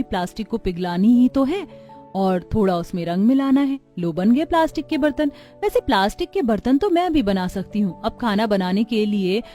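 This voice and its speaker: A woman plays a caring, resourceful young village mother in a cartoon. Her voice sits fairly high and is very soft-edged and mellow, with light music behind. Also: natural voice.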